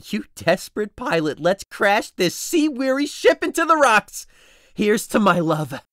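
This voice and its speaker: A woman playing a despairing young male lover dying of poison in an audio drama, dramatic and drawn-out. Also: medium pitch, sing-song, a bit bright, dry recording, studio quality. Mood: anguished resolve. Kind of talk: audio drama acting of modernized Shakespeare.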